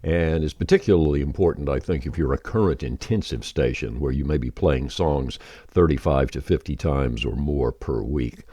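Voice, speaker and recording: A man with an extremely deep, dark and relaxed voice walks through software in a casual, folksy way. He sounds like an informal tutorial presenter, recorded close and very dry. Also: moderate pace, no room sound. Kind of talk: casual screen-share software walkthrough.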